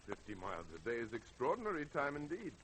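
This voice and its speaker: A formal, incredulous male navy official in a vintage radio drama. His pitch swings widely as he exclaims and probes, with faint noise on the old recording. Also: wildly swooping pitch, fast talker, fairly bright tone, low-quality recording. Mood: skeptical. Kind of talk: 1930s-40s radio drama acting.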